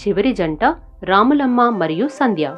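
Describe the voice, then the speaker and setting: A woman voicing a brisk, businesslike female contest organizer in a cartoon, firm and instructing. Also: mid-range voice, animated, voice pushed down, natural voice. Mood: announcing.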